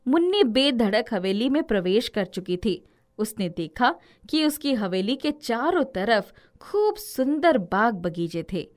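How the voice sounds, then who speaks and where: A woman's voice: on the high side, very animated, measured pace. A woman narrating a scripted children's tale as the storyteller.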